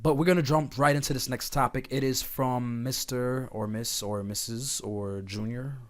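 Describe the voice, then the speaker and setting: A man with a slightly hoarse voice from being sick, talking casually and directly to his listeners as an online host giving rambling advice. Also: medium pitch, fairly even intonation, normal speed. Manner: informal, conversational, addresses listeners directly. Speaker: host of an online talk series. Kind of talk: casual rambling advice chat over gameplay. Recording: clear background noise, game sounds. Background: video game playing in the background.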